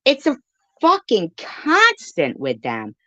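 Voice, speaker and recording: A woman's voice: mid-range voice, huge pitch swings, slow pace, pushed and bright, dry recording. A woman giving an informal live-stream rant in an irritated, emphatic way, repeating phrases to drive her point home.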